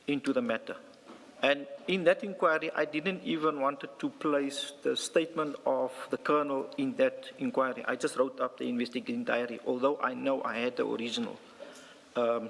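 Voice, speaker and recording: A South African man, a police captain, gives formal testimony as a witness, sometimes halting and repeating words. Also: mid-range voice, steady tone, vocal fry, a bit bright. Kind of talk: formal testimony, partly reading a statement aloud. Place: large indoor hearing room. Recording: reverberant hearing room.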